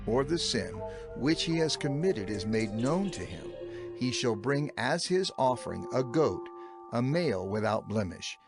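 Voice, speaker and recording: A man narrating scripture for a dramatized audio production in a measured, solemn, declamatory manner. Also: medium pitch, lively intonation. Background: music.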